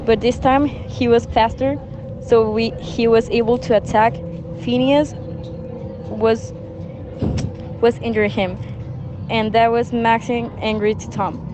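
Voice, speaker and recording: A woman reading a scripted story narration in a halting way, stumbling over words. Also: flat delivery, lo-fi sound. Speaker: student narrator of a class radio drama. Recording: noisy recording with background rumble.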